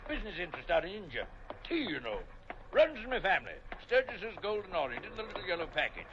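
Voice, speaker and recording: A hearty old commodore who booms and blusters in a radio play. His voice is gravelly and sits high with lively swings. It comes through a dull, hissy old broadcast. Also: quick pace. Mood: jovial. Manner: booming, jovial, bluster. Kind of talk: 1940s radio acting.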